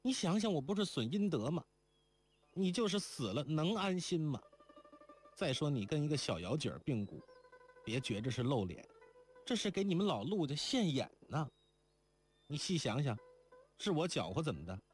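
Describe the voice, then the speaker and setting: A heartbroken young woman in an audiobook tale, her voice high and husky with tears, as a male reader puts her on. She swings widely in pitch, pleading one moment and snapping the next. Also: big pitch swings, moderate pace, pauses often, soft background music. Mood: sharp. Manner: tearful, pleading and sharp-tongued.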